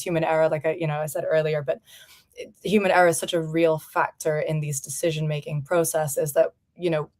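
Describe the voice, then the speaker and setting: A woman's voice: low voice, darkish tone. A woman in her thirties from Portugal, a company founder answering interview questions in a reflective, conversational way. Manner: reflective, conversational, frequent "you know" fillers. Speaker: founder and CEO, guest.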